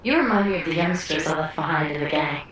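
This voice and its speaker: A cool, seasoned female squad fighter from a video game. Her voice sits a little low and sounds brisk and commanding, with some fondness. It is heavily echoed over faint background ambience. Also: lively intonation, fairly bright tone, slight background noise. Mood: fond, wistful.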